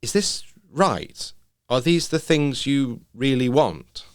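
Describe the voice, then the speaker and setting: A man voicing a troubled, gentle woman, an anxious mother who speaks softly and hesitantly, in a story reading. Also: mid-range voice, big pitch swings, slow pace, clean tone, no room sound, studio quality, voice pushed up. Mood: gently coaxing.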